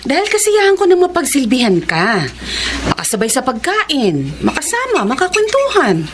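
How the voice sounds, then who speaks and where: A woman's voice: sits high, wildly swooping pitch, moderate pace, background noise. A woman in a Filipino radio drama playing a doting, lovelorn middle-aged admirer with a pleading, plaintive manner. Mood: pleading, hurt.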